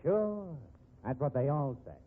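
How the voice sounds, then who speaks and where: Swooping hard-boiled investigator, muffled old radio. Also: male voice, on the high side, expressive, unhurried. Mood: accusing, bullying. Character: hard-boiled, accusing male store investigator, bullying and cynical. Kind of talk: 1950s radio drama acting. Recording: muffled, dull 1950s radio recording.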